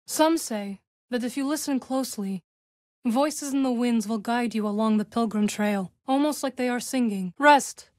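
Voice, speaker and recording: An actress voicing a composed, dry-witted young witch warrior in a video game, speaking in measured, formal phrases. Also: on the high side, darkish tone, dry recording, natural voice. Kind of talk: video game character dialogue.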